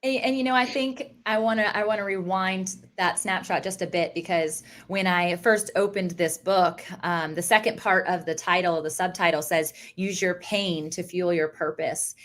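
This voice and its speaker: A woman's voice: on the low side, fairly even intonation, moderate pace, hardly any pauses, a bit bright. An enthusiastic woman hosting a faith-based interview podcast, laughing often and restarting her phrases as she goes. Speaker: podcast host.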